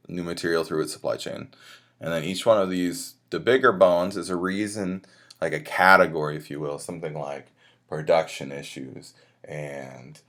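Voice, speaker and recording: Deep-voiced man teaching informally. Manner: conversational, explanatory. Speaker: college instructor. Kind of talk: informal lecture walking students through assignments.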